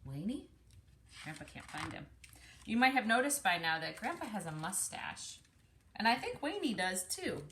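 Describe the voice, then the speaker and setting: A woman's voice: medium pitch, sing-song, normal speed, fairly bright tone, a little hiss or hum. A woman reading a picture book aloud in a teacherly way, explaining things and putting questions to the young listener. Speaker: speech-language pathologist reading aloud to children.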